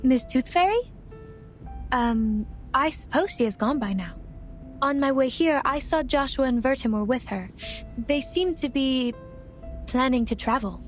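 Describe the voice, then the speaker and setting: A woman's voice: high voice, animated, a little slow, faint music behind. A woman voice actor playing a timid, polite young subordinate in a video game, with a hesitant, deferential manner.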